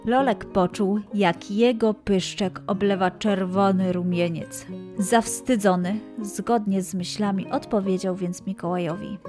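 A woman reading a scripted children's story as narrator, with careful, expressive storytelling. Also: medium pitch, a little slow. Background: background music.

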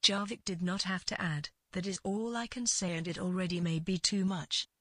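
A woman with a husky voice narrating literary fiction as a scripted read, in deliberate phrases with pauses. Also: on the low side, sharp tone, no echo, clean studio sound.

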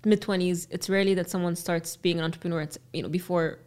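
A woman's voice: fairly low pitch, fairly even intonation, fast talker, darkish tone. A woman hosting a podcast, chatting casually and asking many questions. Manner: friendly, asks many questions. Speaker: podcast host. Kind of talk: casual interview chat.

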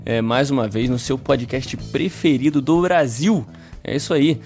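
A man's voice: medium pitch, unhurried, darkish tone, faint music behind. A man with a Brazilian Portuguese accent hosting a casual podcast chat, playful and chatty, laughing often. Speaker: podcast host.

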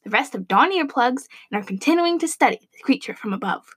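A woman's voice: high voice, expressive, a little fast, fairly bright tone, breathy edge, exaggerated voice. A woman playing an eager, theatrical government radio announcer in a comic dystopian audio drama. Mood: cheerfully ominous.

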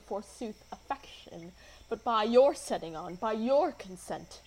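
Wounded young heroine for Shakespeare radio drama, played by a woman: highish voice, slow deliberate verse, indignant and theatrical. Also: close to an everyday voice, rough recording. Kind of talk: amateur Shakespeare radio play acting.

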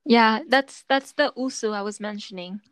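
Woman podcast host, higher voice, dry close sound, easygoing and talkative. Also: moderate pace, a bit bright, dry recording. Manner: chatty, explaining with many fillers. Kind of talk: casual chat.

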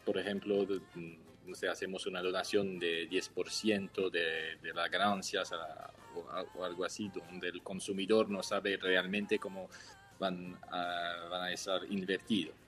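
A young Swiss man, a wine entrepreneur, answering interview questions in a careful, halting way as he searches for words in a language that is not his first. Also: low-pitched, flat delivery, a bit bright, soft background music. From Switzerland.

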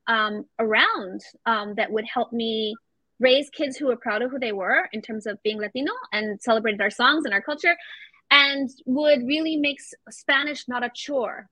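A woman's voice: a little high, pushed and bright, no echo, low-quality recording. A woman from Venezuela, a podcast guest who talks with enthusiasm and slips Spanish phrases into her English. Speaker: guest, founder of a children's media brand. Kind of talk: podcast interview.

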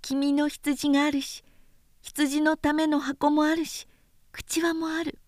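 A woman voicing a small boy prince from a storybook, gentle, wistful and tender, with soft childlike phrasing. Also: high-pitched, level tone, slow, dry recording, studio quality. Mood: wistful, quiet. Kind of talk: storybook character voice in a literary recitation.

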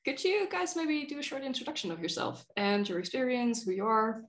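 Creaky-voiced woman podcast host. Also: a little high, sing-song, fairly quick. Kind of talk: casual interview chat.

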